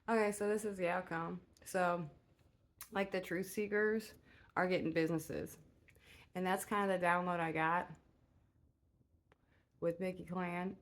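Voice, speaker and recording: Soft low-voiced woman doing a chill rambly tarot reading, lots of uhs. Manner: rambling and meandering, many filler "uh"s; relaxed and low-key. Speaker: tarot reader. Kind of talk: casual spoken tarot reading.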